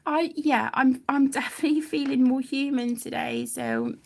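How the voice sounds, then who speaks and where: British woman, highish creaky voice, chatty rambling colouring-stream host, informal, self-interrupting. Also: moderate pace, a little mellow. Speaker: host of an adult colouring channel. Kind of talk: casual live chat while colouring.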